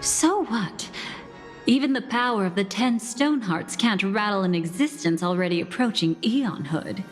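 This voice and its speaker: A woman playing a dismissive challenger in a video game. Her mid-pitched voice is blunt and skeptical. It carries long reverb over faint music. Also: animated, normal speed, close to an everyday voice.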